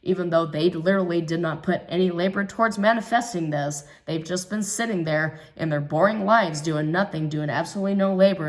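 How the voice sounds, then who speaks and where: A hoarse woman reads tarot in a loose, thinking-aloud way, her voice sitting a little low. She hesitates often with fillers, then presses her points with firm conviction. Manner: musing, hesitant with many ums, emphatic about others' jealousy. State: hoarse from recovering illness. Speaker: tarot reader. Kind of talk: casual tarot reading monologue.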